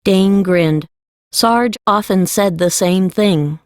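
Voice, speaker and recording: A woman's voice: mid-range voice, takes it slowly, darkish tone, no room sound, studio quality. A woman reading scripted narration for a fantasy audiobook.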